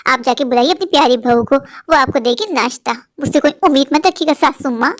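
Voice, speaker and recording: A tired young mother, played by a woman, who has turned cold and defiant toward her mother-in-law. Her voice is high and clipped, with sharp, bitter comebacks. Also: brisk, lightly acted.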